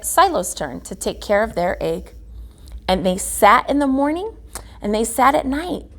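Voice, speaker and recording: A woman reading a children's picture book aloud as a guest reader, phrasing it deliberately with emphasis. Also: mid-range voice. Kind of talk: children's storybook read-aloud.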